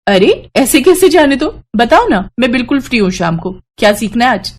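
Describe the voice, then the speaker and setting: A woman voicing a kind, patient young daughter-in-law in a cartoon story, gentle and encouraging. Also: a little high, big pitch swings, normal speed. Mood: friendly, encouraging. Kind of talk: Hindi animated moral-story voice acting.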